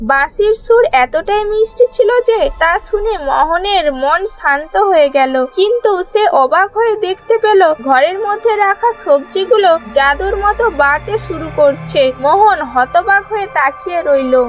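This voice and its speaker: A woman telling a children's fairy tale as scripted cartoon narration. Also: extremely high pitch. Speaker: storyteller. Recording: music bed behind the voice.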